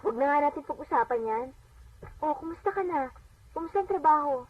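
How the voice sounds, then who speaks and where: An actress playing a devout, lively working mother and bossy older sister in a radio drama, speaking in an expressive, conversational way. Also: sits high, a little fast. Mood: insistent. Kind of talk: Filipino radio drama acting. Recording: dull radio playback, distant, music behind.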